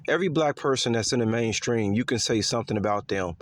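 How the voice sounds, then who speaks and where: A man talking in a casual, rambling monologue to his viewers, repeating himself with lots of fillers and letting his voice swing higher and lower. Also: fast talker, no echo, clean studio sound. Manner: pitch swings up and down. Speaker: vlogger.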